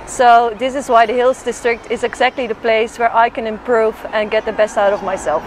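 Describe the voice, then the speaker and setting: A woman's voice: fairly high pitch, steady tone, brisk, noisy background. Earnest young woman, lapel mic. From Netherlands. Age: young adult. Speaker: long course triathlete. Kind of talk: scripted self-introduction. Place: outdoors beside a swimming pool.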